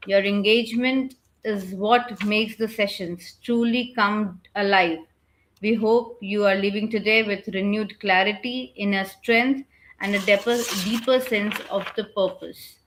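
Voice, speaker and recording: A woman's voice: medium pitch, steady tone, unhurried, a bit bright, slight background noise, poor audio. Woman reading a formal vote of thanks. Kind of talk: read formal thank-you speech. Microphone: webinar video call.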